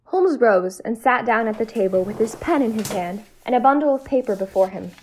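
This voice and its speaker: A woman's voice: on the high side, expressive, brisk, a little mellow, slight background noise, lightly acted, rough recording. A woman playing a loyal, inquisitive detective's companion and storyteller in an amateur audio drama, earnest and literary. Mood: solemn narrating. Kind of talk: amateur audio drama acting and scripted narration.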